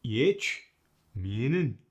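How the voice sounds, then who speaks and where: Guy doing a boastful scottish teacher, highish voice that swings all over, emphatic comic lesson. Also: sing-song. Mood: instructive, confident. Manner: swaggering, emphatic, deliberate demonstrating. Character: cocky, boastful Scottish male dialect master.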